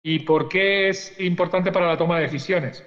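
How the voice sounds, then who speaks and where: A man from Spain gives a detailed technical answer on a conference panel, with a slightly high voice. He explains step by step and keeps checking that his listeners follow him. The sound is muffled and rings in a large hall. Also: normal speed, fairly bright tone. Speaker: public accounting official.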